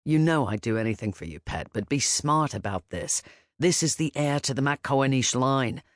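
A woman voicing a gentle, protective older man who speaks softly and calmly, with a firm edge. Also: mid-range voice, moderate pace, no echo, clean studio sound, natural voice. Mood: gentle, fatherly. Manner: soft, calm and fatherly.

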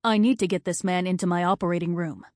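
A woman's voice: on the low side, steady tone, fast talker, darkish tone, dry recording, studio quality, lightly acted. A woman voicing a cold, curt male surgeon who speaks in brusque, clipped lines.

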